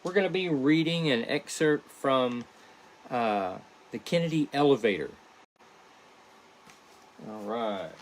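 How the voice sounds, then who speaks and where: A man hosting a casual behind-the-scenes stream as a podcast creator, chatting to viewers in a halting, rambling way. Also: medium pitch, expressive, poor audio. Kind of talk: casual livestream chat to viewers.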